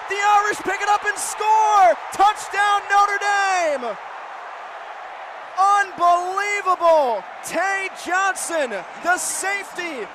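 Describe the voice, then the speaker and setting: Casting: man, American accent, very high-pitched dramatic football radio play-by-play caller, over noisy stadium ambience. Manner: dramatic, swings from measured detail to excited calls. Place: stadium broadcast booth. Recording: live stadium radio broadcast, loud crowd noise. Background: loud stadium ambience.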